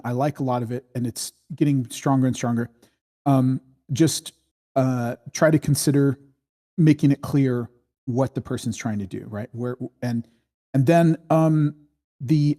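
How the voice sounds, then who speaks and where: A man with a mellow, relaxed voice gives informal notes on a screenplay over an online call. He feels his way through each point, circling and qualifying as he explains. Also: mid-range voice. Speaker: screenwriting workshop participant giving script notes. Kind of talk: casual spoken feedback on a script. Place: online voice meeting.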